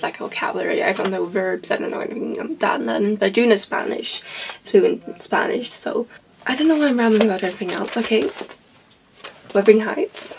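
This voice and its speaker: Woman in her twenties, swedish accent, casual rambling book chat, gravelly, husky. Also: medium pitch, low-quality recording. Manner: rambling, heavy on fillers like "like" and "you know". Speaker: book vlogger.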